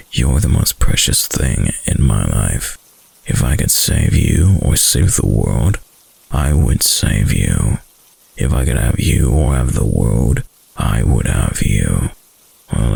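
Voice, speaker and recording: An extremely deep, breathy wolf-boy boyfriend, acted by a man for an ASMR roleplay, full of heavy gravel. He murmurs slowly and intimately, doting and possessive, with a dominant streak. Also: a little mellow, no echo, full character voice. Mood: sweet devotion.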